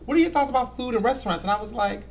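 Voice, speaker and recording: A man in his thirties from Houston with a light Southern accent, chatting as a guest about his work as a food editor, animated and full of "you know". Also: very high-pitched, rapid-fire, creaky, a little hiss or hum. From Houston, Texas. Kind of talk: casual conversation.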